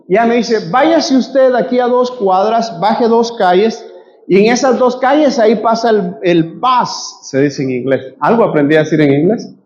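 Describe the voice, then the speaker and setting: A Guatemalan man preaches in church, with a strikingly high-pitched voice and a Guatemalan Spanish accent. He tells a personal story and keeps addressing the congregation as his brothers and sisters. The recording sounds dull and lo-fi. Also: darkish tone. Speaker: pastor. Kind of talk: sermon with storytelling.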